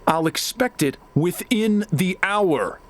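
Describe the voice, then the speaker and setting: An actor playing a stern, suspicious boss in an audio drama, curt and demanding, with a husky voice. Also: male voice, high voice, lively intonation, takes it slowly. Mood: stern, impatient. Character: stern, suspicious male boss pressuring an underling.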